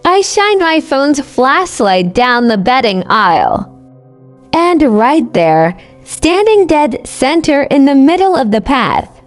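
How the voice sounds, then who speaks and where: Female narrator, first-person horror storytelling, slow pace, animated intonation, conversational dramatic phrasing, background music. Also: sits high. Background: music bed.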